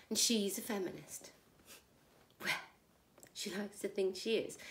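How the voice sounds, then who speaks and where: A woman acting a jaded middle-aged housewife in a wry, confiding, gossipy comic monologue. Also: on the high side. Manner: wry, confiding, gossipy, with rhetorical tag questions. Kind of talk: conversational comic stage monologue. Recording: echoey room.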